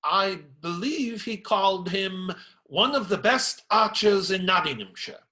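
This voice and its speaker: Radio play actor as sympathetic friar: crisp bright tone, very varied intonation, polite and attentive. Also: male voice, sits high, animated, a little slow, heavily acted. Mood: measured. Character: courteous, sympathetic friar, gently probing and flattering.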